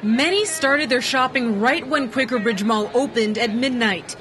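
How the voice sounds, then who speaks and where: A young woman reporter narrating a local TV news piece in a clear, even delivery. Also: on the high side, moderate pace, background noise.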